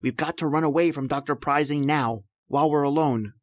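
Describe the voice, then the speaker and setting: A man's voice: a little high, a little fast, no room sound, studio quality, lightly acted. Man acting as a tense, confrontational husband. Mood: tense, conspiratorial. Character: tense, accusing husband suspected of murder. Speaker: actor in an audio drama. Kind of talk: old-time radio horror acting.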